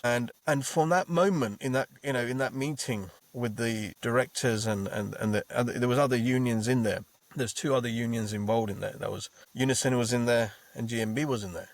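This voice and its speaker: Casting: forties male guest, earnest, mid pitch, dry close sound. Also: brisk, no room sound. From Bangladesh. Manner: earnest, repeats words when starting a thought. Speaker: trade unionist guest. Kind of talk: reflective interview answers. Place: podcast studio.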